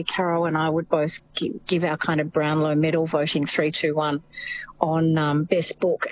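A woman's voice: on the low side, a little hiss or hum, poor audio. An Australian woman, a journalist guest on a radio show, talking in a musing way with many fillers. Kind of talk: casual radio interview.

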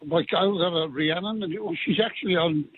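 A man's voice: fairly high pitch, fairly quick, slightly rough, dry recording. An older man from Wales with a Welsh accent, phoning in to a talk radio show and reminiscing in a rambling way. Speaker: caller. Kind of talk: casual phone-in chat. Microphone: telephone. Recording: tinny phone line with faint hiss.

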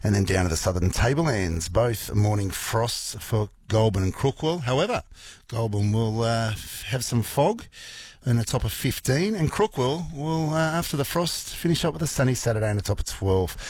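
A male Australian radio presenter with a croaky morning voice, chatting casually and playfully with listeners between weather and songs. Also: mid-range voice, lively intonation, normal speed, darkish tone, no echo. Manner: chatty, playful, rambling asides. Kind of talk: casual breakfast radio chat. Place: radio studio.

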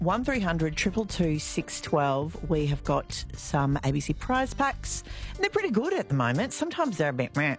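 An Australian woman hosting a local radio show in a studio, chatty and jokey, repeating quiz clues and phone numbers. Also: lowish voice. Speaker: radio host. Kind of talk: breakfast radio presenting. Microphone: studio mic.

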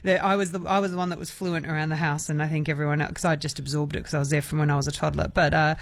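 A radio host, chatty and quick to laugh, interviewing a guest casually. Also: medium pitch, level tone. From Australia. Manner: chatty, laughs easily, rambling restarts.

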